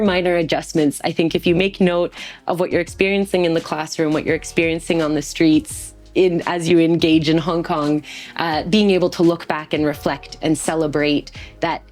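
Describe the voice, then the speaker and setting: A woman's voice: fairly low pitch, a little mellow. A woman guest in an interview, talking in a reflective way and giving practical advice from her own experience as a teacher. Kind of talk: interview conversation.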